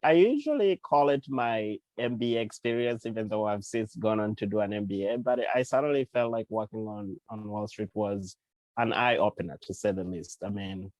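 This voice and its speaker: A man from Uganda, a guest author, talking through his ideas in a relaxed interview with frequent fillers and restarts. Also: lowish voice, normal speed, dry recording, muffled recording, faint music behind. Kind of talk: casual interview talk.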